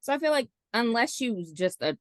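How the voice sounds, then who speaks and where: A woman's voice: fairly high pitch, big pitch swings, fairly quick, no room sound, studio quality. A woman in her late thirties, a podcast guest giving blunt opinions with casual swearing. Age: 30s.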